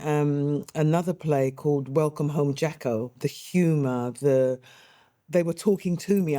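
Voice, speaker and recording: Middle-aged woman, actress-playwright, warm mellow voice, chatty and quick to laugh, dry close recording. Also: low-pitched, no room sound. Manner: animated, laughing often, chatty. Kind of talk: interview, reminiscing.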